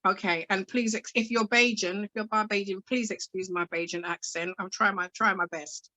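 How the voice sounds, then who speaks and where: A woman's voice: mid-range voice, fairly even intonation, normal speed, pushed and bright, no echo. A middle-aged woman author, chatting in a self-deprecating way before reading aloud from her book. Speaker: author and guest.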